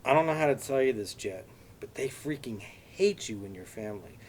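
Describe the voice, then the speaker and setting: A man's voice: medium pitch, lively intonation, normal speed, a little mellow, a little hiss or hum, voice pushed up, lightly acted. A man voicing a worried young woman who confides warnings to her friends. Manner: earnest, anxious, confiding.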